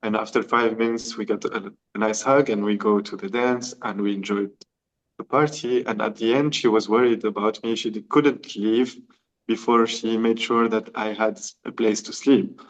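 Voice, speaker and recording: A man's voice: on the low side, moderate pace, rough recording. A man from Tunisia, a podcast guest, telling a personal story and acting out the dialogue. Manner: animated, acts out quoted dialogue. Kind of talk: storytelling in conversation.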